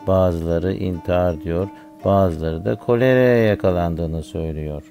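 A man plays an earnest cartoon schoolboy reciting lesson facts, though the voice is deep and mature. It is mellow and creaky, in a scripted style. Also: a little slow, faint music behind. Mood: earnest, informative. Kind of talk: scripted educational dialogue.

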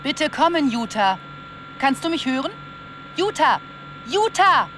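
An actress playing a brisk, easily exasperated military squad leader in a video game, with crisp commanding delivery. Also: female voice, high-pitched, sing-song, fairly bright tone, slight background noise, heavily acted. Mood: anxious calling. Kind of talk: video game voice acting, German dub.

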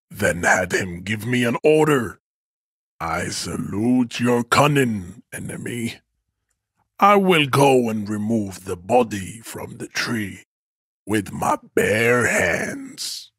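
A man's voice: on the low side, fairly bright tone, slightly rough, no echo, studio quality, full character voice. An actor playing a pompous, stoic strongman in a video game, declaiming haughty, grandiose speeches. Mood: solemn, grudging respect. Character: pompous, stoic, hulking male race-theorist strongman. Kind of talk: video game character acting.

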